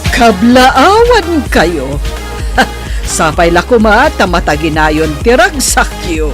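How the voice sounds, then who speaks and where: A woman's voice: mid-range voice, big pitch swings, slow. Effusive mother, swooping voice over music. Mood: effusive blessing. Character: proud mother congratulating newlyweds, effusive and formal. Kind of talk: Ilocano radio drama acting.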